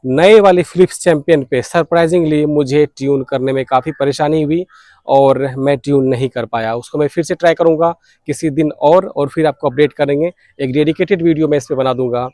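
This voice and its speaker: Guy doing a hobby vlog explainer, dry close sound, bit higher voice, drops english tech words. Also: brisk, warm tone, no room sound. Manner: explanatory, mixes in English technical terms. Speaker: radio hobbyist vlogger, host. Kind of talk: informal video explainer, listing details.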